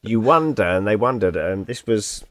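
A man's voice: fairly low pitch, expressive, moderate pace, no echo. A man co-hosting a podcast, chatting in an amused, rambling way about an old radio comedy. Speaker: podcast co-host. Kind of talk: casual review chat.

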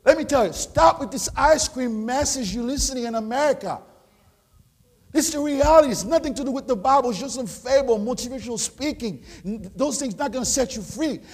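A Haitian man with a Haitian French accent, a pastor preaching a sermon, rising into loud shouted exhortations. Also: very high voice, animated, fairly quick, husky. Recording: long hall reverb.

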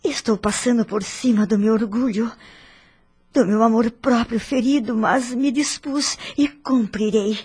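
An actress playing a dignified, forgiving middle-aged wife in a radio drama, with a Brazilian accent and an earnest, formal delivery. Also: female voice, fairly high pitch, measured pace, darkish tone, husky. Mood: earnest, resolute.

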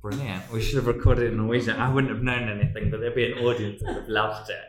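A man's voice: medium pitch, flat delivery, fast talker, a crowd in the background. Enthusiastic man hosting in an echoey room. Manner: enthusiastic, joking, lots of "like". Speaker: podcast host. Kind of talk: casual interview chat.

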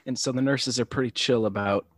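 A man's voice: steady tone, brisk, no echo, clean studio sound. A young man co-hosting a casual podcast, telling stories in a laid-back way with lots of filler words. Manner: laid-back, many "like" fillers, low-key delivery. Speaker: podcast co-host. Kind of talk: casual storytelling chat.

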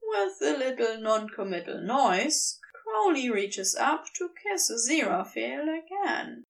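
Slow, expressive woman narrating fiction. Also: high-pitched, gravelly, distant mic. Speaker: audiobook narrator.

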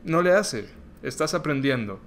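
A man's voice: on the high side, lively intonation, normal speed, fairly bright tone, a little hiss or hum. A young man from Mexico, hosting a podcast in a casual, joking way. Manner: chatty and joking, acts out quoted voices. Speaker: podcast host. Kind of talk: casual solo podcast talk. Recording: clear music playing under the voice.

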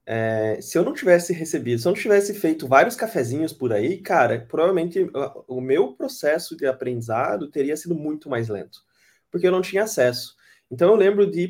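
Brazilian countryside accent with strong retroflex R, male, mid-pitch, reflective storyteller. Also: a little mellow. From Brazil, countryside interior. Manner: reflective, storytelling, hesitant restarts. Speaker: podcast guest, UX designer. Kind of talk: casual podcast discussion.

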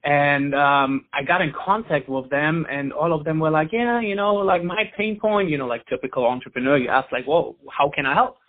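A man's voice: on the high side, moderate pace, hardly any pauses. A man from Germany with a German accent, a company founder chatting casually as an interview guest, peppering his talk with "like" and "you know". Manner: relaxed, heavy use of "like" and "you know". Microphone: phone. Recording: tinny phone line.

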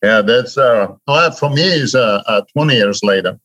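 A man's voice: mid-range voice, expressive, moderate pace, a bit bright, no echo. A man with a Polish accent telling stories casually as an interview guest, rambling and often saying "you know", over a remote call. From Poland. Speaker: interview guest, diving helmet maker. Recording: dull, lo-fi remote call audio.

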